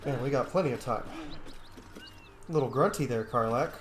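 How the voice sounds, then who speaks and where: A man's voice: medium pitch, normal speed, a little hiss or hum. A man doing casual gameplay commentary as a video host, talking to himself informally and swearing now and then.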